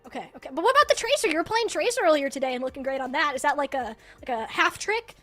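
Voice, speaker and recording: A woman's voice: sits high, expressive, very fast, fairly bright tone, faint music behind. A woman hosting a live esports broadcast and interviewing in a chatty, casual way. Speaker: esports desk host.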